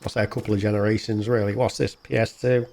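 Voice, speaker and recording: A man's voice: fairly low pitch, level tone, fast talker, creaky, darkish tone. A man hosting a gaming channel, giving casual gameplay commentary in an informal, rambling way. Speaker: gaming YouTuber, host.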